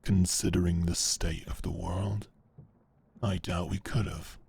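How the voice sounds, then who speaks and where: Man acting a deep, raspy, stern medieval knight. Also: little pitch movement, darkish tone, exaggerated voice. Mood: stern, guarded. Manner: formal, curt, measured. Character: stern, commanding male medieval knight guarding prisoners. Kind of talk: medieval roleplay audio drama acting.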